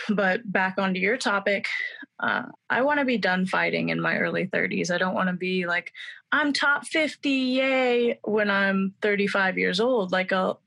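A woman's voice: medium pitch, a bit bright. A woman in her twenties answering interview questions in a chatty, confident way, with many fillers. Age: 20s. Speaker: mixed martial arts fighter and student.

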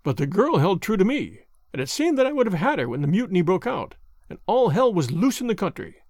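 A man's voice: high voice, sing-song, brisk, darkish tone, no echo, clean studio sound, exaggerated voice. A man reading an audiobook, voicing a bitter, twisted former soldier with a vehement, impassioned delivery. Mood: bitter storytelling.

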